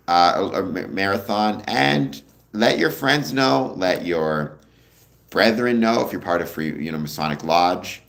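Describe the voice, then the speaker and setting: A young man hosting a casual livestream, answering viewer comments in a relaxed, rambling way with a deep voice. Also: vocal fry. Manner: relaxed, rambling, many ums, answers chat questions. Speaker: livestream host.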